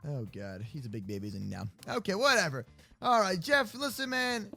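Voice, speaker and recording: A man with a bright, high-set voice commentates an improvised game roleplay. His delivery swings widely in pitch and is full of casual slang. Music plays behind him. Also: fairly quick, gravelly. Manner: animated, slangy, reacting aloud. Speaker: gaming video host.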